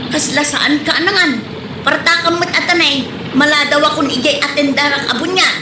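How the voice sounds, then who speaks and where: A woman's voice: high-pitched, moderate pace, background noise, close to an everyday voice. A woman playing a sassy, demanding, argumentative passenger in a comedy drama, bossy and indignant. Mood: impatient. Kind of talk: comedy radio drama acting. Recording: roomy echo, music behind.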